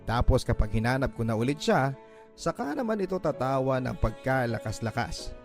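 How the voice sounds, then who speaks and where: A man with a Filipino accent tells a first-person story as a radio drama narrator. His voice sits at a medium pitch. Music runs clearly behind him. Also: very animated, moderate pace. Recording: background music.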